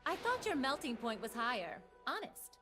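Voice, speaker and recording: A woman's voice: sits high, sing-song, moderate pace, sharp tone, faint music behind, full character voice. A woman voicing a sassy, playful young heroic mage in a video game, with theatrical delivery. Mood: sassy, teasing. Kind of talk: video game champion voice lines.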